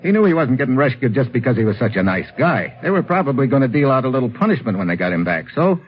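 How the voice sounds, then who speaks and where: An actor playing a tough, wisecracking American government agent, narrating and talking in a wry, clipped hard-boiled radio adventure style. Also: male voice, mid-range voice, big pitch swings, fast talker, lightly acted. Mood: wry, firm. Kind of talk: old-time radio adventure acting and narration. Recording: muffled old radio recording, music, noise.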